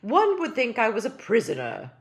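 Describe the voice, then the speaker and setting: A woman voicing a tiny, pompous, elderly male doll king who talks slowly, loudly and with stately gloom. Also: a little high, huge pitch swings, voice pushed up. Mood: mournful. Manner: slow, loud, stately and mournful.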